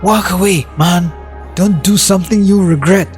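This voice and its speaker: A man's voice: high voice, moderate pace, faint music behind, pitched above the performer's own voice, lightly acted. A man voicing a tough, determined teenage boxer and protective older friend in a dramatized story, streetwise and urging. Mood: tense warning.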